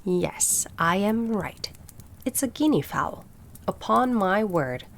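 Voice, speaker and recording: A woman's voice: medium pitch, animated, slow, creaky. A woman voicing a nosy, know-it-all old female duck in a storybook, opinionated and emphatic. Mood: knowing, insistent. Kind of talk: storybook character voice.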